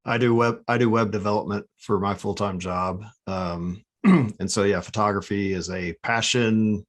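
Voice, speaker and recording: A man in his thirties, seated at a studio microphone in his home office, hosts an interview for his photography channel. His voice sits on the low side, and he talks in a relaxed, friendly manner. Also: moderate pace. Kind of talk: interview host, casual chat. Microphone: studio mic in front of the speaker.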